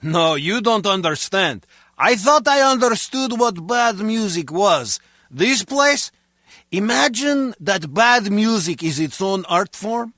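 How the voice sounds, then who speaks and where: An earnest henchman in a comic spy video game. The voice is fairly high, bright and dryly recorded, with a long-suffering, overdramatic streak. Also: expressive, slow pace, no echo, studio quality, full character voice. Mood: pained. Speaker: crewman.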